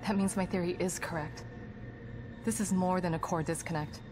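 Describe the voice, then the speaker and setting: An actress playing a composed, guarded psychologist in a video game, speaking in precise, formal clinical terms. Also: female voice, lowish voice, fairly even intonation, moderate pace, natural voice. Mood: clinical, focused. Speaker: psychologist character in a horror game. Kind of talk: video game cutscene acting. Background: background music.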